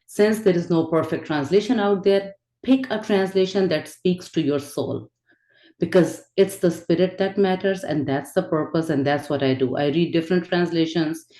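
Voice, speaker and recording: A woman whose first language is Urdu gives an earnest, reflective online lecture, pausing on fillers and restarting her sentences. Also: sits low, mellow, reverberant. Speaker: teacher of an online spirituality class. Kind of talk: informal lecture. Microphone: video call.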